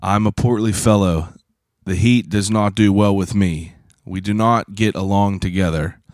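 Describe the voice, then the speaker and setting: A man in his thirties from Pittsburgh, hosting a podcast in a casual, rambling solo talk, hoarse from shouting, swearing freely and filling gaps with "uh". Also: fairly low pitch, steady tone, normal speed, dry recording, clean studio sound. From Pittsburgh, USA. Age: 30s. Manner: rambling, profane, self-deprecating; frequent "uh" fillers and throat clearing. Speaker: podcast host.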